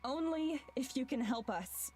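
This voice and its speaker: A woman's voice: high-pitched, expressive, measured pace, darkish tone, soft background music. A woman reading a visual novel's dialogue and first-person narration aloud.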